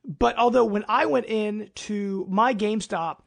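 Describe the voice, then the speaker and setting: High-pitched, creaky-voiced American man. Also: measured pace. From United States. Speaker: podcast host. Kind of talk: casual chat.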